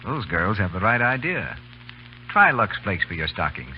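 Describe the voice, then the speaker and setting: A man's voice: on the low side, big pitch swings, moderate pace. A man reading a scripted commercial as a polished, persuasive announcer in old-time radio style. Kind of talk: scripted 1930s-40s radio advertising. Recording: muffled old radio recording, faint hiss.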